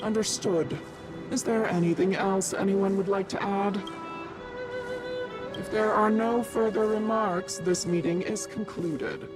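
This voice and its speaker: A woman's voice: medium pitch, fairly even intonation, unhurried, natural voice, poor audio. Woman acting as stern executive, formal, official, procedural, game dialogue over music. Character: stern formal corporate executive chairing a disciplinary meeting.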